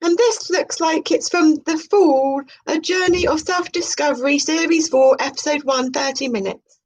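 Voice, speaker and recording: A woman's voice: sits high, moderate pace, darkish tone, slight background noise. A woman hosting a video, reading aloud about tarot with playful, chatty spiritual asides. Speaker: video host. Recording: muffled, dull lo-fi sound.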